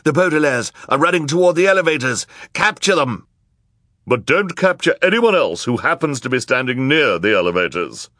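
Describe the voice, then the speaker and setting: A man voicing outraged members of a storybook mob, shrieking and yelling for an audiobook. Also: medium pitch, big pitch swings, normal speed, hardly any pauses, no room sound, studio quality, voice pushed up. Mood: urgent. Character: shrill, outraged crowd members calling for capture. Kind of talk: audiobook character acting.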